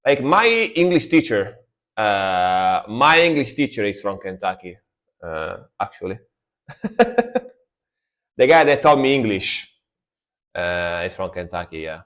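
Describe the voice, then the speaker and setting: Enthusiastic Italian-accented man, lowish voice. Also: very animated, measured pace, pauses often, a bit bright. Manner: enthusiastic, rambling, repeats words like "sick". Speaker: music reaction streamer. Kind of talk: casual live-stream reaction commentary.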